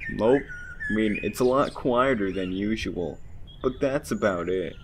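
Man as a slow-talking, breezy young bird monster. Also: on the low side. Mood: casual, puzzled. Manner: laid-back, casual slang. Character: breezy, joking young male duck-dragon snowflake monster. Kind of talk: fan audio drama acting. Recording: clearly noisy background.

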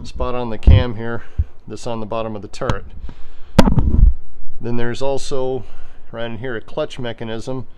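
A man's voice: mid-range voice, little pitch movement, measured pace. A plain-spoken man giving an informal, step-by-step hands-on explanation as he films on a handheld phone in a garage workshop. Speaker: host demonstrating his pinsetter machine. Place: indoor garage workshop full of machinery. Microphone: handheld phone camera. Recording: noisy, clattering machinery in garage. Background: machinery noise.

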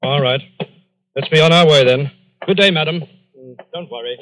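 An actor playing a brisk, matter-of-fact police detective in an old-time radio mystery. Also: male voice, a little high, a bit bright, natural voice. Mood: businesslike. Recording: noisy old radio recording.

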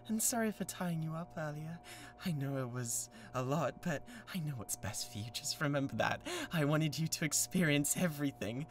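A woman's voice: low voice, slight background noise. A British woman playing an obsessive, menacing young yandere classmate in a theatrical ASMR roleplay monologue. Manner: theatrical, swinging between hushed coaxing and manic intensity.

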